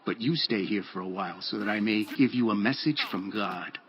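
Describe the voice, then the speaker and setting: An actor playing a solemn, commanding prophet in a dramatized audio Bible, with measured, declamatory delivery. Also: male voice, fairly low pitch, breathy edge. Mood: solemn, prophetic. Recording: dull lo-fi audio with music. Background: background music.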